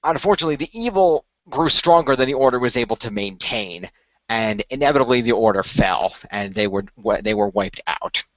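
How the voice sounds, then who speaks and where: A man's voice: mid-range voice, lively intonation, a bit bright, dry recording, lo-fi sound, natural voice. Man playing a formal, earnest keeper of secrets. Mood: solemn storytelling. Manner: formal, measured, portentous storytelling. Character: Noah, courteous, earnest male keeper of a magical secret.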